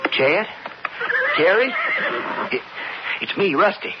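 A man's voice: high voice, lively intonation, slow. An actor playing an earnest, anxious young western man in a radio drama. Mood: worried. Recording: noisy muffled old radio broadcast.

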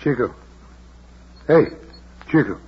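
An actor in a vintage radio drama playing a kindly, hesitant, fatherly older taxi driver with a husky voice and a coaxing manner. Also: mid-range voice, very animated, lo-fi sound, full character voice. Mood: anxious. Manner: slow, hemming, gently coaxing. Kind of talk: 1940s radio drama acting.

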